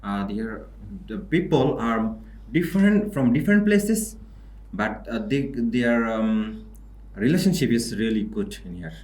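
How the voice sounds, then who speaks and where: A man's voice: mid-range voice, lively intonation, unhurried, a little mellow, reverberant, poor audio. A man from Bangladesh, an international student, chatting casually on a podcast. He talks hesitantly, with many fillers and restarts.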